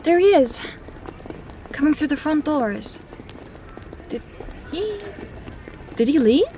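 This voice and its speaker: An actress playing a wry, wary young adventurer in an audio fantasy drama, with a hesitant, questioning manner. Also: female voice, high-pitched, expressive, slow pace, warm tone, faint music behind.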